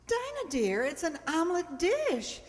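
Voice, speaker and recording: Society mother, female actor: genteel, fretting, very high animated voice, reverberant stage radio play. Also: measured pace, full character voice. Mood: fussing. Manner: prim, genteel, gently scolding. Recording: echoey hall, stage radio performance.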